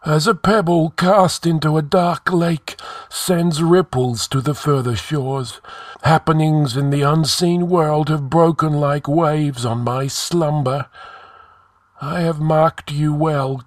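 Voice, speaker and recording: A man's voice: fairly high pitch, no room sound, voice pushed up. A man voicing an ancient ghostly sage in a fantasy audiobook, solemn, commanding and oratorical in an archaic way.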